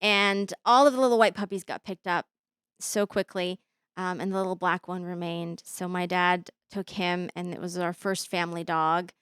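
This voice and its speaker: A woman in her thirties, born in Bosnia with Bosnian as her first language, telling her story as an interview guest with many pauses and fillers. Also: fairly low pitch, steady tone, fairly bright tone, clean tone, no echo, studio quality. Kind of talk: conversational interview.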